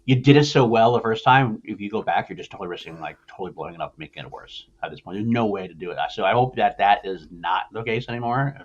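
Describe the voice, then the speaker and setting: Fast-talking man, low voice, dull audio. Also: animated. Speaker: podcast host. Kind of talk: casual pop culture discussion.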